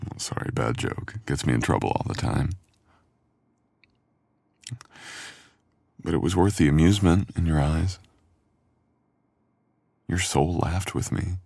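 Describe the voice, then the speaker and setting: Very deep-voiced, teasing boyfriend played by a man. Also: expressive, moderate pace, gravelly, pauses often, darkish tone, no room sound, full character voice. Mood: tender, intimate. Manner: playful, teasing, intimate. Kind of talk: boyfriend ASMR roleplay audio acting.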